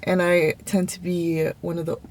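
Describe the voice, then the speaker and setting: A woman's voice: lowish voice, flat delivery, moderate pace. A woman in her late twenties talking casually to camera in a personal vlog, candid and reflective. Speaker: vlogger.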